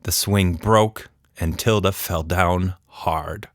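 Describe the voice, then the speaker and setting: A man's voice: low voice, steady tone, slow, no room sound, clean studio sound. A man narrating a scripted children's story as a storyteller.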